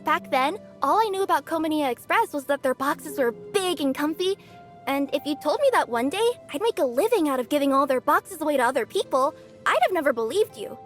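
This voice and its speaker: A bubbly cat-yokai courier girl from a game, high sweet voice, faint music behind. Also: animated, fairly quick. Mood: bashful. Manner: bubbly, bashful, flustered denials. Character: cheerful young female cat-yokai courier, playful. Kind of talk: video game character voice lines.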